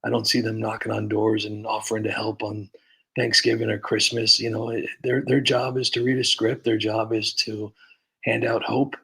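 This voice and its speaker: A man's voice: lowish voice, level tone, moderate pace, vocal fry, bright, forceful tone, low-quality recording. A man hosting a comedy livestream, chatting casually and rambling with lots of fillers and restarts. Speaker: comedy channel host.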